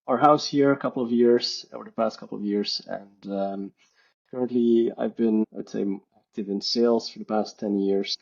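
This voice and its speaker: A man's voice: on the low side, gravelly, a little mellow, highs cut off. A man from Belgium, a podcast guest and author, talking in a thoughtful, hedging way with many restarts and fillers. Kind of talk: casual reflective conversation.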